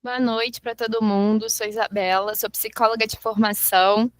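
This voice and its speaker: A woman's voice: on the high side, level tone, moderate pace, dry recording. A woman psychologist introducing herself on an online webinar. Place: online video call.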